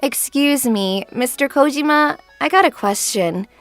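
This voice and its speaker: A woman voicing a haughty, dismissive high school girl with a condescending, expressive delivery in a manga dub. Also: unhurried, soft background music. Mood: coolly polite. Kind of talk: acted manga dub dialogue.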